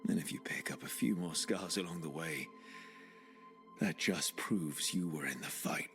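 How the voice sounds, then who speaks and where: Deep-voiced game hero, earnest and thoughtful, talking to himself, music behind. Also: male voice, expressive, unhurried, a bit bright, full character voice. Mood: resolute. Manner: musing aloud, thoughtful. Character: young heroic male adventurer, reflective, earnest, quietly determined. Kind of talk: video game cutscene acting.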